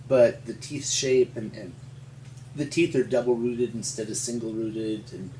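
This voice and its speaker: An older man from rural Montana with an American accent, telling his story in an interview in a halting, folksy, explanatory way. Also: mid-range voice, fairly even intonation, mellow. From rural Montana, United States. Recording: roomy, distant mic with faint hum.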